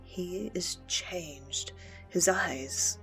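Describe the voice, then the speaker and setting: A woman's voice: fairly low pitch, extremely slow, a bit bright, faint music behind, full character voice, poor audio. A woman playing a stern, troubled military commander, guarded and resolute, in clipped phrases for a radio play. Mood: troubled, hushed.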